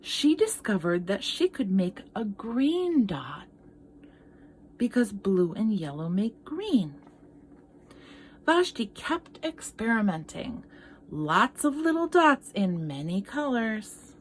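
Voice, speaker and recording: Female art teacher, slow animated children's read-aloud, engages young listeners, some background noise. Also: medium pitch. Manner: expressive, addresses young listeners with questions. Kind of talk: children's picture book read-aloud with asides.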